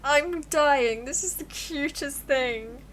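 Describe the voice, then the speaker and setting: A woman's voice: high voice, slow pace, fairly bright tone, slight background noise, poor audio. A woman with a posh British accent livestreaming a game, commenting playfully and gushing as she reads along. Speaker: game streamer. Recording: background music behind voice.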